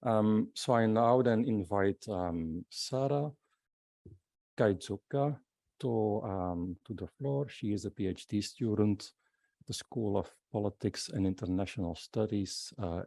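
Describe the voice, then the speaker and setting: A man chairing an academic workshop session, introducing the next speaker in a halting way with many ums. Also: fairly low pitch, creaky, warm tone, no room sound.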